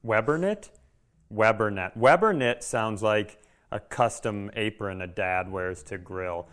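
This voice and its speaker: American male podcast co-host, clear smooth voice, casual, rambling, crude humor. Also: on the low side, unhurried, fairly bright tone. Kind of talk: casual comedic podcast chat.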